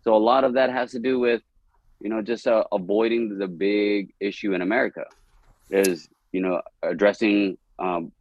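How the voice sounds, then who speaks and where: A man's voice: fairly low pitch, fairly even intonation, moderate pace. A young man from East Dallas, Texas, talking candidly as a comedian guest on a podcast, rambling and laughing often. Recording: dull, dry podcast audio.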